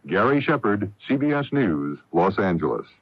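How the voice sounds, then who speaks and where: A man's voice: lowish voice, sing-song, moderate pace, slightly rough, no echo. An American man giving a scripted news report as a radio correspondent, on a dull vintage broadcast.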